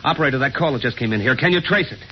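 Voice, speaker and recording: A man's voice: mid-range voice, animated, very fast, a little hiss or hum, natural voice. An actor playing an earnest, anxious man, a loyal friend, in an old-time radio drama. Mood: urgent, alarmed. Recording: dull old radio recording, music behind.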